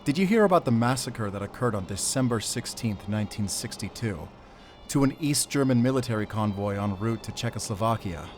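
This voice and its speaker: A man's voice: fairly low pitch, expressive, fairly quick, darkish tone, faint music behind, natural voice. An actor playing an earnest male captive who argues his case insistently in an audio drama. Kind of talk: cinematic audio drama acting. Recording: faint vehicle noise behind.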